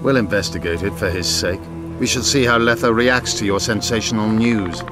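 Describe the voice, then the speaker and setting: Actor as haughty, low-voiced elf rebel commander. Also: male voice, moderate pace, over music, lightly acted. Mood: proud, guarded. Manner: haughty, grandiose, menacing. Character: proud, bitter male elf rebel commander. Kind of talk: video game acting.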